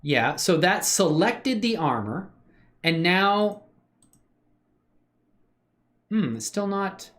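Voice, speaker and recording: A man's voice: sits high, sing-song, very slow, long pauses, a bit bright. A man hosting a casual livestream, chatting with viewers in a laid-back, slangy, appreciative way and thinking aloud as he works. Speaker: livestream host showing 3D animation work. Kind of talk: casual chat with live viewers.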